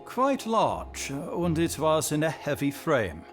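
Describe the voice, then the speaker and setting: A man playing a stately, grand royal visitor in an audio drama, speaking in a deliberate, halting way. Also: fairly high pitch, huge pitch swings, soft background music, heavily acted. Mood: anxious, stately.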